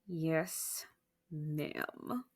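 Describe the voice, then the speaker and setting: Woman acting bitter haughty vampire warrior lady, low raspy voice, really swoops around, audio drama. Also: expressive, drawn-out words, exaggerated voice. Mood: grudging. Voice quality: raspy, gravelly. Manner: formal, haughty, argumentative. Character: defiant, bitter female vampire warrior, proud former farm girl.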